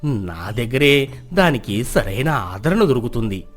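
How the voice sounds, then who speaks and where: A man acting a low-pitched, eager middle-aged villager. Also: very animated, measured pace. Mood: pleading. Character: middle-aged greedy, gullible villager, a fifty-year-old man.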